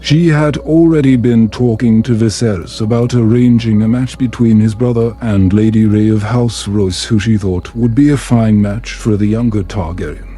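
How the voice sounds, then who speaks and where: A man narrating a calm bedtime story in a measured, soothing storybook manner. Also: on the low side, faint music behind.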